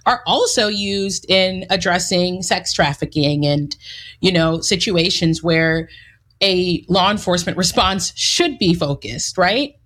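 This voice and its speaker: A woman's voice: lowish voice, measured pace, a bit bright. A woman answering in an interview, speaking emphatically and lifting her voice on key words.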